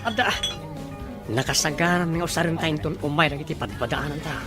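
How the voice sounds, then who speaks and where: A woman's voice: low-pitched, brisk, music in the background, natural voice. A woman playing a cheerful, teasing friend in an Ilocano audio drama.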